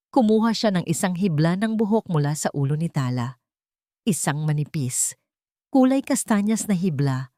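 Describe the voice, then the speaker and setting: A low-voiced woman reads a scripted dramatic story. The sound is dry and close, with very little room around her. She delivers it as a storyteller narrating an audio drama. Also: darkish tone, dry recording.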